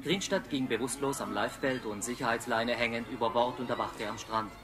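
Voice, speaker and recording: An actor playing a sober reporter, narrating a factual account in a radio drama. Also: male voice, mid-range voice, a little fast, close to an everyday voice. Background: music.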